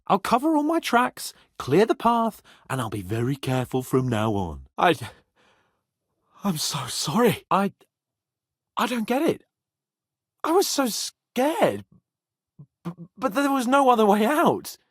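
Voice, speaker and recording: A man playing a brash, excitable young medieval nobleman in a video game, speaking theatrically with a husky voice, haughty yet loyal. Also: high-pitched, unhurried, long pauses, no room sound, studio quality, full character voice. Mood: brisk, assured, then shaken, apologetic. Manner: theatrical, expressive, swearing freely. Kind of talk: video game voice acting, dramatic dialogue.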